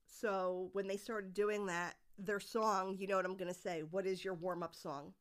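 A woman's voice: medium pitch, little pitch movement, moderate pace. Middle-aged woman, podcast guest, chatty rambling storyteller. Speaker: guest, musician's mother. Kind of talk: casual podcast chat.

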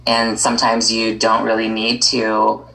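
A woman's voice: extremely low pitch, completely flat delivery, measured pace, vocal fry, fairly bright tone, poor audio. A woman speaking as a podcast guest in a casual conversation, easygoing and thinking aloud with frequent ums and likes.

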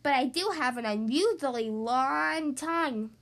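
A woman's voice: high-pitched, a little slow, fairly bright tone. A woman plays a big, scruffy male storybook monster. He slurps his words and blusters to sound scary, yet comes across whiny and easily offended. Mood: boastful.

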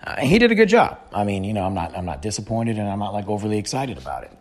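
A man hosting his own podcast with a hoarse, fading voice, talking loudly and rambling with stumbling restarts. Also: fairly low pitch, big pitch swings, very fast. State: hoarse, voice nearly gone after shouting. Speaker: podcast host. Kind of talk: casual solo podcast recap.

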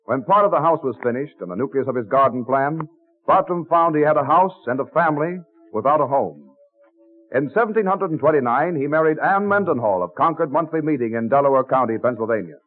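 A man giving formal, measured storytelling narration for an old radio drama. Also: a little high, lively intonation. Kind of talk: mid-century American radio scripted narration. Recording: tinny old radio recording with music. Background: music.

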